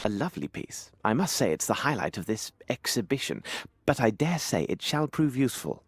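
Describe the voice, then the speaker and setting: An actor playing a shrewd, self-assured Victorian detective with a British accent in an adventure game, speaking precisely and formally as if musing aloud. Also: male voice, mid-range voice, very animated, a little fast, husky. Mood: intrigued, musing. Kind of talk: scripted adventure game dialogue.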